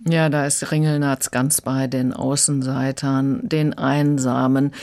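A woman's voice: a bass voice, little pitch movement, measured pace, a little mellow. A woman giving a conversational review as a critic in a radio discussion.

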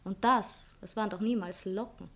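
An actress playing a proud, quick-witted society poetess in a satirical stage comedy, with haughty, ironic delivery. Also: female voice, medium pitch, steady tone, a bit bright, lightly acted. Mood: haughty, mocking. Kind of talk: theatrical satirical comedy acting.

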